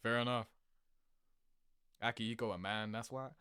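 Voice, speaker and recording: A man giving casual, rambling reaction commentary in an animated, slangy, sweary way, laughing easily. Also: mid-range voice, normal speed, smooth, dry recording, clean studio sound. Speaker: video creator reacting to game cutscenes.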